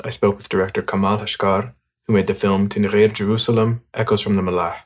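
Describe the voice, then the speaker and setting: A man hosting a podcast, who reads a scripted intro in a clear, measured way and then chats casually with his guest. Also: low voice. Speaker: podcast host.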